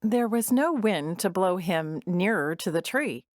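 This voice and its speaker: A woman narrating a children's storybook drama in an expressive, deliberate storytelling manner. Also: fairly low pitch, no room sound, studio quality.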